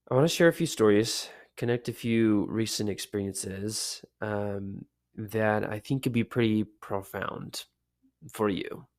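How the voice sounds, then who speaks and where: A man hosting a casual solo podcast, sick with a lowered, deep voice, talking in a relaxed, rambling way with many fillers. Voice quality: deep, low voice. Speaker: podcast host.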